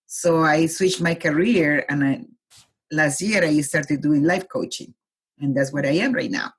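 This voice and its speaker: A Colombian-born woman in her forties, a guest with a husky voice, talking reflectively in a casual interview and laughing easily. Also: sits low, a little slow.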